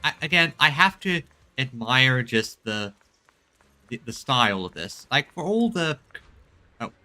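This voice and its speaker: A male streamer comments casually on a game in a very bright, forceful voice of average pitch. He speaks haltingly, doubling back on words, over faint music. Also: big pitch swings. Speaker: gaming streamer.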